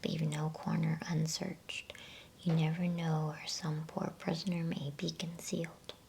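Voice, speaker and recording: A woman's voice: deep voice, little pitch movement, a little slow, creaky, a little mellow, slight background noise. A woman reading a storybook in a whisper, voicing a noble, joyous male lion who takes command.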